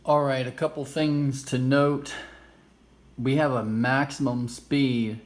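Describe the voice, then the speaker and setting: A man's voice: medium pitch, steady tone, takes it slowly. A man hosting a casual hands-on game demonstration, explaining things informally with a self-amused, nerdy enthusiasm. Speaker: host of a retro game play-through video. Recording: clearly noisy amateur recording.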